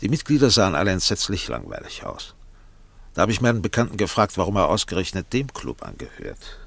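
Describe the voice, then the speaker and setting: An actor playing a worldly, self-assured defence barrister who talks in a dry, patronising, measured way in a German radio drama. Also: male voice, sits low, wildly swooping pitch, creaky, a bit bright, no echo, full character voice. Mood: wry.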